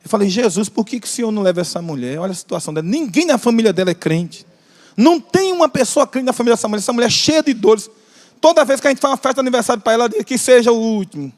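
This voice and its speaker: A man's voice: extremely high pitch, animated. A man preaching a sermon with a Brazilian Portuguese accent, impassioned, stretching out key phrases and joking with the congregation. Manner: impassioned, drawn-out emphatic phrases, jokes with the congregation. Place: large church hall. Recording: long church hall reverb.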